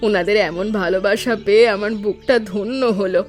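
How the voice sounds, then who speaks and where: Lady doing caring old village auntie, cartoon, medium pitch, bit naggy but kind, music behind. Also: a little fast, natural voice. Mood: fond, content. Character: compassionate old village wife, gently scolding. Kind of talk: Bengali cartoon story voice acting.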